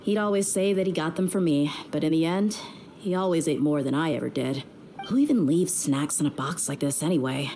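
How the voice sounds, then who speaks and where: A woman's voice: low voice, a little fast, dark tone, slight background noise. An actress playing a tough young woman mercenary in a video game, dry and weary with tenderness underneath. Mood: wry, exasperated. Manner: dry, weary, plain-spoken. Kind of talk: video game character dialogue.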